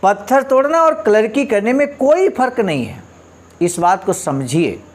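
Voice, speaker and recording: Male speaker, high register, very lively intonation. Instructive motivational delivery: reads quotes, explains them, drives points home through repetition. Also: normal speed. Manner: emphatic, didactic, rhetorical questions, repeats points for stress. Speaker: speaker explaining a leader's speech.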